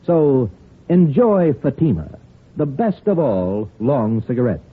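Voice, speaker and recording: American male commercial announcer, very husky, animated emphatic pitch, tinny old radio sound. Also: slow. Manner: emphatic salesman delivery. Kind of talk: 1950s radio advertisement pitch.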